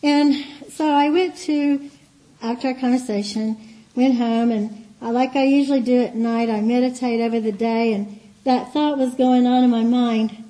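A woman with a light, higher voice gives a church teaching in a meeting room, talking in an easy, chatty way. Her tone is mellow rather than bright, and at times she drifts off the mic. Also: steady tone, moderate pace, rough recording. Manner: warm, chatty storytelling with soft laughs and asides. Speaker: guest speaker.

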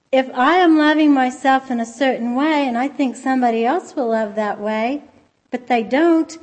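Woman, soft voice, slightly high, gentle informal sermon, conversational. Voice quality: soft-spoken. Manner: gentle, conversational, hesitant restarts. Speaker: church speaker.

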